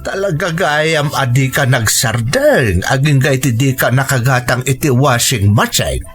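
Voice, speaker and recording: A man's voice: medium pitch, animated, a little slow, music in the background, natural voice. An actor playing a long-suffering, reproachful old husband and father in a radio drama.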